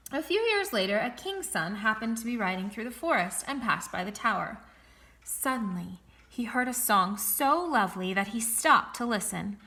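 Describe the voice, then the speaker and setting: A woman reading a fairy tale aloud in a clear, expressive, measured way. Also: on the high side. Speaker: storyteller.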